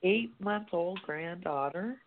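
Gravelly-voiced caller rambling on a phone line. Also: medium pitch, measured pace, a little hiss or hum. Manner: rambling, many fillers and restarts. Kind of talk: phone-in conversation.

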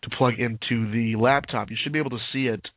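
A hoarse man hosting a laid-back chat show. His voice comes through a thin, phone-like channel with no room sound at all. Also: mid-range voice, fairly even intonation, fast, no echo. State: losing his voice. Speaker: podcast host. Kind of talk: casual chat. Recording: tinny phone-like sound, very dry.

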